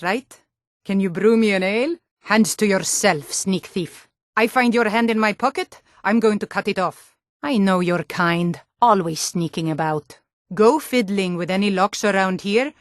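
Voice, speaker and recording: A woman playing a tough, suspicious female Nord city guard in a fantasy video game, with a blunt, gruff, challenging and wry manner. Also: medium pitch, no echo, studio quality, close to an everyday voice. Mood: hostile, warning.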